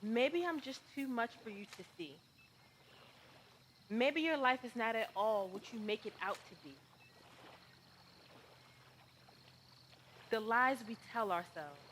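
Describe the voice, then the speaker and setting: A woman acting out a hurt but defiant woman who feels unseen, in a stage-style monologue. Her voice sits a little high and moves very slowly, with deliberate emphasis and pleading pauses. Faint outdoor noise sits behind her. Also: a bit bright. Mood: wounded, accusing. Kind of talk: dramatic spoken monologue performance.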